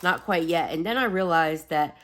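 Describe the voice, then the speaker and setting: A woman vlogger chatting casually and rambling apologetically, slightly raspy and sniffly as she gets over a cold. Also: on the low side, moderate pace, fairly bright tone. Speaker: host of a home fragrance video channel. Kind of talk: casual rambling vlog chat.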